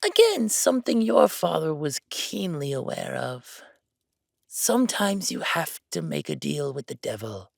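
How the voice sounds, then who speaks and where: A man's voice: on the high side, sing-song, measured pace, gravelly, no room sound, clean studio sound, exaggerated voice. A man voicing a bold, calculating bookkeeper count in a villain audio drama, taunting and grandly self-assured. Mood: smug taunting.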